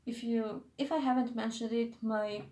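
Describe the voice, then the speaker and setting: A woman's voice: on the high side, fairly even intonation, moderate pace, warm tone, reverberant. A young woman who is not a native English speaker, chatting in a casual vlog, hesitant and often losing her train of thought. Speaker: YouTuber.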